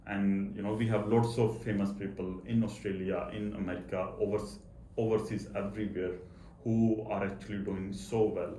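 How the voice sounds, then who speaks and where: A man with a low voice and a stutter answers interview questions. He repeats words and halts as he explains himself earnestly. The room is echoey, with faint noise behind him. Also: little pitch movement, normal speed, mellow. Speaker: interview guest, accountant. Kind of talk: personal interview talk.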